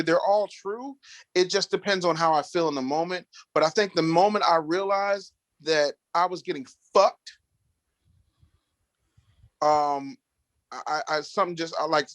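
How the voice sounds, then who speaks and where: Loud, blunt, profane man with a high voice. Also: no room sound. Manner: slips into mocking put-on voices. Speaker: podcast guest. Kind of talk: profane casual chat.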